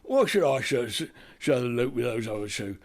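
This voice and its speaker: A man's voice: mid-range voice, sing-song, moderate pace. A man voicing a greedy, scheming robber with an East London accent, talking bluntly.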